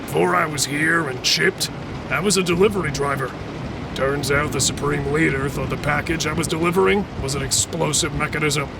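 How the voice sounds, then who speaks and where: An actor playing an angry, blunt ex-delivery driver stuck on a prison island, in an audio drama. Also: male voice, a little high, steady tone, moderate pace, gravelly. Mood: wry. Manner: blunt, confrontational. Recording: noisy background.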